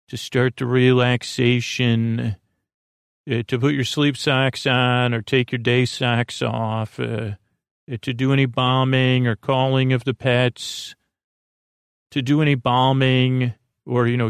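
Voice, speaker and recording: Male podcast host, creaky and lulling, wandering and self-interrupting delivery, very dry recording over faint music. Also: mid-range voice, no echo. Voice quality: creaky, croaky. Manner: meandering, halting, stammering, self-interrupting; soothing, lulling. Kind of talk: rambling bedtime podcast monologue.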